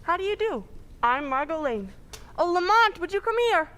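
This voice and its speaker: A woman's voice: very high-pitched, big pitch swings, normal speed, fairly bright tone, heavily acted. A woman playing a poised, polite and inquisitive lady sleuth in an old-time radio drama. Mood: curious, poised.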